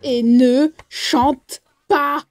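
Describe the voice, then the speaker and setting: A woman's voice: sits high, steady tone, extremely slow. A woman voicing a protective, practical young woman who talks firmly. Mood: exasperated. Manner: firm and exasperated.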